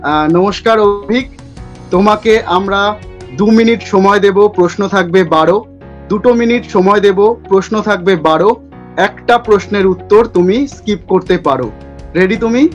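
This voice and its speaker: A man hosting a playful quick-fire question segment in a chatty interview style. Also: sits high, muffled recording, soft background music.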